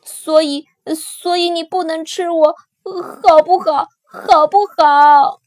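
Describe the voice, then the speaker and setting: A crybaby little boy spinosaurus, played by a woman, all high squeals and childlike pleading. The storybook voice sits far above a grown-up's, drawn out slowly. It is recorded close and dry. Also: fairly even intonation, darkish tone, no echo.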